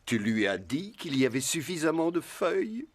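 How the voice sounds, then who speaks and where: A woman voicing a wise, admonishing male dog in a French cartoon dub, speaking like a measured storyteller. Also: sits low, very animated, very noisy. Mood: gently scolding.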